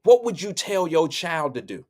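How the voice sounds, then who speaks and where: A man commenting calmly, appealing to people to set an example. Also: a little high, moderate pace. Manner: calm, appealing, moralizing. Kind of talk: reflective commentary.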